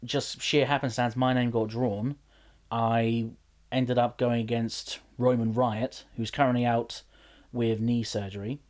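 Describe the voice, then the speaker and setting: A man's voice: medium pitch, unhurried. Chatty man telling stories. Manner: animated storyteller, many restarts. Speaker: wrestler and general manager. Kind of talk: casual podcast chat.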